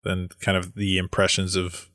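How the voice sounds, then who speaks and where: A man co-hosts a casual movie podcast in a fairly low voice. He speaks haltingly, restarting his sentences and filling gaps. The sound is dry and close. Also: monotone, sharp tone, dry recording. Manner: hesitant, many restarts and fillers. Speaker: podcast co-host. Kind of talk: casual movie review chat.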